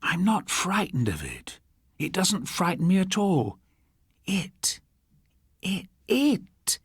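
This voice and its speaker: A man's voice: high voice, huge pitch swings, takes it slowly, husky, no room sound, studio quality, pitched above the performer's own voice, full character voice. A man voicing a defiant but rattled old woman in a horror audiobook, insistent and stammering. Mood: defiant, fearful. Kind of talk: acted audiobook dialogue.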